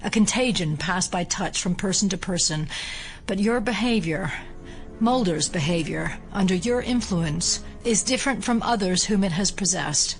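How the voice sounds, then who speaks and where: Measured, mid-pitched actress as woman investigator. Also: soft background music, close to an everyday voice, rough recording. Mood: wary, probing. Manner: measured, clinical. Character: wary, analytical woman investigator questioning an alien. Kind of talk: audio drama acting.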